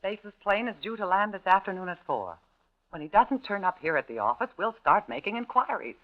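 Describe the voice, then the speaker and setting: Actress as ruthless female partner in crime, American, commanding, animated delivery, 1950s radio sound. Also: medium pitch, brisk, natural voice. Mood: firm, reassuring. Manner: curt, commanding, composed. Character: cold, domineering, scheming woman partner in crime. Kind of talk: 1950s radio drama acting. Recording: dull, lo-fi 1950s radio recording.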